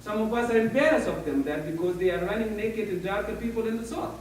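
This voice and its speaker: Ethiopian man, high voice, storytelling speech, caught from afar in a big echoing room, faint hiss. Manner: anecdotal, halting, non-native phrasing. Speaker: speaker at a community meeting. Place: large echoey meeting hall. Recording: echoey hall, mic far off, faint hiss.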